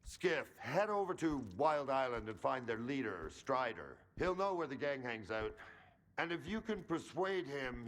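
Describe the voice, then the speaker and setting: An actor playing a gruff, short-tempered colonel in a video game, barking orders with profane sarcasm. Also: male voice, mid-range voice, fairly bright tone. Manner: brusque, commanding, profane, sarcastic.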